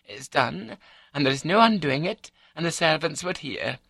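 A man's voice: fairly high pitch, expressive, moderate pace, vocal fry, fairly bright tone, slightly rough, no room sound, pitched above the performer's own voice, exaggerated voice. A man voicing a prim, scatterbrained elderly Scottish wife in an audiobook, prattling on in an unruffled, matter-of-fact way with a Scottish accent. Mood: placid, confiding. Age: older.

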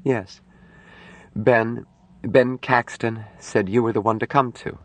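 A man voicing a distraught young woman, a timid but insistent nurse, in an audiobook with halting, pleading speech. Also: fairly even intonation. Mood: drained, sad. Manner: pleading, halting, shrill when upset.

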